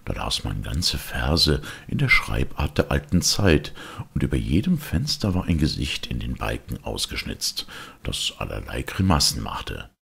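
A man reading a fairy tale as an audiobook, in an expressive storytelling manner. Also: a bass voice, measured pace, creaky, breathy edge. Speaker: audiobook reader. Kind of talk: scripted fairy-tale narration.

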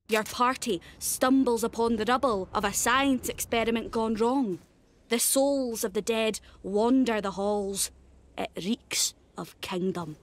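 A woman's voice: fairly high pitch, a bit bright. A woman with a Scottish accent voicing a cheeky, playful, irreverent young immortal fighter for a video game. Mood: dramatic, narrating. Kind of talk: video game character voice lines.

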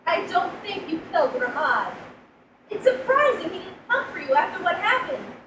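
Woman acting an earnest, high-voiced woman translator. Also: big pitch swings, normal speed. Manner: careful, explanatory. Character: earnest, gentle woman translator addressing a child. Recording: roomy, distant mic, background noise.

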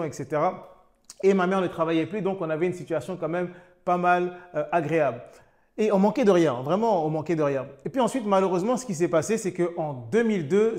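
A man with a fairly high voice talks straight to the camera about making money, in the manner of an online coach. He addresses the listener directly and often stops to ask them questions. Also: a little mellow. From France, raised in Côte d'Ivoire. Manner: addresses viewer informally, with repeated questions and restarts. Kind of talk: direct-to-camera motivational talk.

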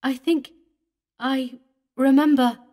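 A woman voicing a gentle young female ghost who speaks calmly, sweetly and mournfully. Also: high-pitched, takes it slowly, pitched above the performer's own voice. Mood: wistful.

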